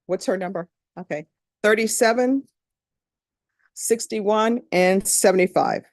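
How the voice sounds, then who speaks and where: A woman's voice: mid-range voice, unhurried, no echo. Louisiana-accented woman judge, dry sound. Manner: conversational, plain-spoken storytelling. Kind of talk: addressing a jury panel in court. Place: courtroom.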